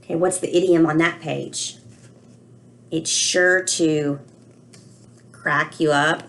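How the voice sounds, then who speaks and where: A woman reading aloud and teaching children, explaining expressively and pausing to ask questions. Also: sits low, steady tone, fairly bright tone. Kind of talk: read-aloud lesson with questions to young listeners.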